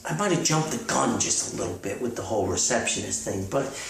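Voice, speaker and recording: A man's voice: medium pitch, animated, fairly quick, gravelly, distant mic. A man giving a rambling, casual comic monologue in a folksy, hesitant, mock self-important way. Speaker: author hosting his own comedic podcast. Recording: echoey room, off mic, music.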